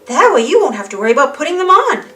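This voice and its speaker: A woman puts on a reassuring schoolboy best friend for a storybook. He sounds bright and fairly high, with an easygoing, helpful way of giving advice. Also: very animated, quick pace, far from the mic, a little hiss or hum, heavily acted.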